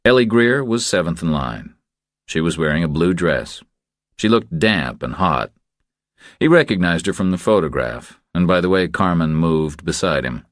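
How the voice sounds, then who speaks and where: Male narrator, very low bass pitch, scripted thriller audiobook, dry studio sound. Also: animated, moderate pace, no echo.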